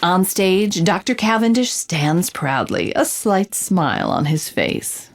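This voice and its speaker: An actress playing an investigative reporter, narrating a scripted audio drama with measured, descriptive storytelling. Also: female voice, on the low side, big pitch swings, faint music behind, full character voice. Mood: intrigued, dramatic. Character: female reporter narrating her investigative holocast.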